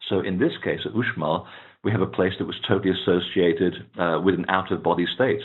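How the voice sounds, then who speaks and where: A man whose first language is Portuguese, a guest explaining ancient temples at length in a relaxed way, with many fillers. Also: deep voice, brisk. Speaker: author and guest. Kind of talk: phone interview, explaining at length. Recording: tinny phone line.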